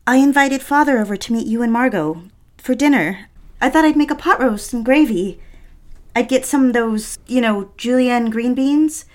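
A woman's voice: fairly high pitch, normal speed, darkish tone, natural voice. A woman playing a lovelorn, petulant young housewife and mother in a period audio drama, pleading and wistful with old-fashioned phrasing. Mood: contrite, wistful.

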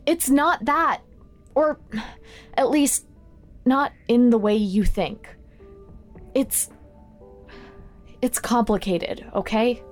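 A protective, worried woman in a drama podcast. Her fairly high voice moves slowly and hesitantly, with earnest emphasis. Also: soft background music. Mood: worried, pleading. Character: worried, protective female friend and teammate. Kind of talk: audio drama acting.

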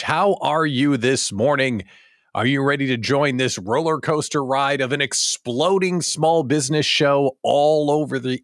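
A man hosts an upbeat live morning show with a very lively, swooping delivery. He mixes casual chatter with theatrical announcer flourishes and keeps putting questions to his listeners. The sound is close and dry. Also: medium pitch, no room sound. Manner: chatty, addresses listeners directly with rhetorical questions. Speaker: host of a daily live small business show. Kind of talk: upbeat livestream show hosting.

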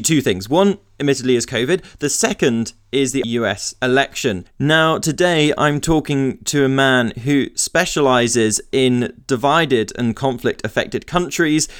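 A man's voice: mid-range voice, clean tone, no echo, studio quality. A man hosting a podcast, reading his introduction and then asking a guest questions in an earnest, enthusiastic way. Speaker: podcast host.

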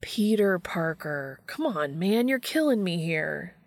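Woman reading as a cheeky, creaky-voiced geeky guy. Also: fairly low pitch, animated, slow pace, no echo, full character voice. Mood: exasperated banter. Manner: casual, slangy, teasing.

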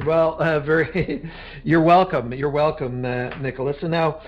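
A man's voice: a little high, fairly even intonation, measured pace, highs cut off, soft background music. A man hosting a podcast interview, rambling with frequent fillers and restarts. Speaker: podcast host.

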